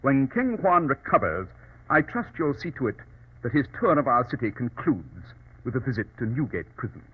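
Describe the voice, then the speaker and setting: An actor playing a brilliant, self-assured detective, formal and sardonic in 1940s radio drama style. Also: male voice, mid-range voice, sing-song, moderate pace, narrow, tinny audio, natural voice. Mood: sardonic, triumphant. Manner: crisply formal, sardonic, theatrical. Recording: thin, scratchy old radio recording.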